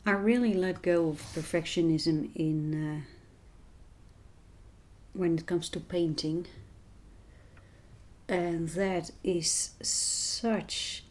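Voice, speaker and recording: A woman with a slightly hoarse voice, talking gently and unhurriedly as she narrates a painting tutorial, sometimes dropping to a near whisper. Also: fairly low pitch, fairly even intonation. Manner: soft-spoken, unhurried, drifting into quiet near-whispers. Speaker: art tutorial host and voice teacher.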